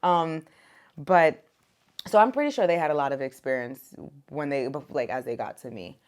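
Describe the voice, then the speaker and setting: A woman's voice: deep voice, moderate pace. A young woman, born in Ethiopia and raised in America, talking casually as a podcast guest about being a mother, with lots of "like" and "um". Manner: relaxed, many fillers like "like" and "um". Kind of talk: casual conversation.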